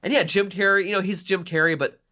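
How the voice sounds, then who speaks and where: A man's voice: high voice, steady tone, fast, pushed and bright, dull audio. A man co-hosting a podcast, giving casual, wry and understated commentary in a film review chat. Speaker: podcast co-host.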